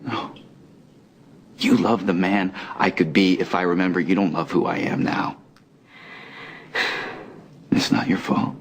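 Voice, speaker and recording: An actor playing a conflicted amnesiac man in a romantic drama, speaking earnestly and haltingly, often repeating his words. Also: low voice, fairly even intonation, a little hiss or hum. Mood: frustrated. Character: anguished amnesiac man, conflicted, torn between two loves. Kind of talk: soap opera style romantic drama acting. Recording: loud music under the dialogue.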